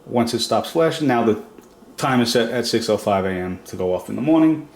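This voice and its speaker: A man's voice: mid-range voice, lively intonation, brisk, creaky, slight background noise. A man with a cold, sounding nasal and stuffed up, explaining a product step by step in a casual home demo. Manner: plain step-by-step explaining with fillers. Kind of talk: casual how-to demonstration. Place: reverberant room at home.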